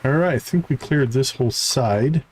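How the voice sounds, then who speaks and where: A man's voice: medium pitch, moderate pace, a little mellow, husky. A man livestreaming a game, reading character dialogue aloud with stumbles and short asides. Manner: reads on-screen text aloud with stumbles and asides. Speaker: game streamer.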